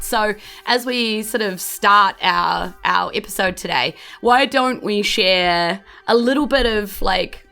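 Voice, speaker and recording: A woman's voice: medium pitch, fairly bright tone. A woman with a New Zealand accent, chatting in a bubbly, welcoming way as podcast host and dungeon master. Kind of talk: chatty podcast hosting of a tabletop game.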